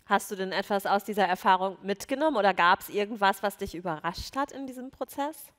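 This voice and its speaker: A woman's voice: medium pitch, a little fast, fairly bright tone. A woman moderates a stage conversation with polite, formal questions. Her mid-range voice sounds through a large hall with a long reverb.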